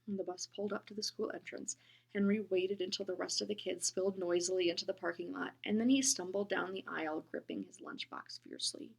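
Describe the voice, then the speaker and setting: A woman reading a children's book aloud, gentle and expressive, often dropping into a whisper. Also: medium pitch, brisk, creaky, husky, rough recording. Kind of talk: storybook read-aloud.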